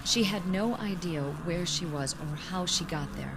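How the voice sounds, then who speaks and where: An actress playing a worried, loyal young fiancée in a radio drama, earnest and concerned. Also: female voice, lowish voice, unhurried, noisy background. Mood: soothing, reasoning. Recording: music behind the voice.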